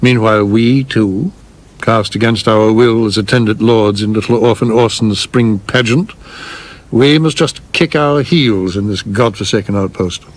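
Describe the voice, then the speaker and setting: An actor in a radio play as a cautious, scholarly theater producer, measured and formal, with a dry wit. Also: male voice, on the low side. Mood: wry, reflective. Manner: measured, literal, dryly witty, formal wording.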